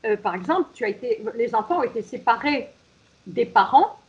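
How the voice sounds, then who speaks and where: A woman's voice: fairly high pitch, animated, a little fast, husky, dull audio. A woman hosting a radio interview, summing up her guest's story and drawing her out. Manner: leading, summarizing the guest's story. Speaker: radio host.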